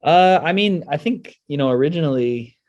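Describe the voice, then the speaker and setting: A middle-aged man with an American accent, giving measured, explanatory answers as a guest in a casual interview. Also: a little high, lively intonation, no room sound. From United States and South Korea. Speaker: school sports director, interview guest.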